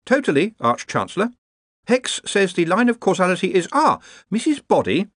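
A man's voice: sits high, very animated, normal speed, a bit bright, dry recording, studio quality, pitched above the performer's own voice, exaggerated voice. A man voicing a harried, earnest academic wizard who organises everyone in a fussy, polite, explanatory way, in a storybook reading. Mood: officious, stern.

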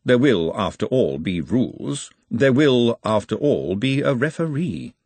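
A man acts out an urbane male tyrant from a fantasy storybook. He is formal, measured and dryly understated, with calculation under every phrase. The recording is dry and close. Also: medium pitch, very animated, darkish tone, no echo. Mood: dry, composed. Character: urbane, wry, calculating male city ruler.

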